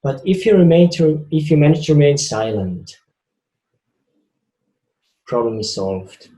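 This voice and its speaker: A man's voice: a little high, sing-song, measured pace, mellow, echoey room, rough recording. A man with a Swiss accent giving a reflective spiritual talk, earnest and repeating phrases for emphasis. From Switzerland. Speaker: spiritual speaker.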